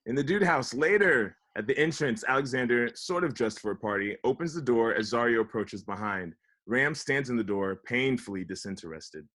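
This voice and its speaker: Mid-pitched man reading stage directions. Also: expressive, normal speed, fairly bright tone. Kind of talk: scripted narration at a comedy table read.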